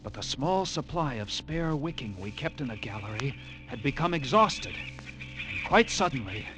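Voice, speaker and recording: An actor playing a haunted lighthouse keeper, narrating an old radio drama with intense, halting delivery and suspenseful pauses. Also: male voice, medium pitch, sing-song, music in the background, close to an everyday voice. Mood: despairing. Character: haunted male lighthouse keeper recounting a grim ordeal.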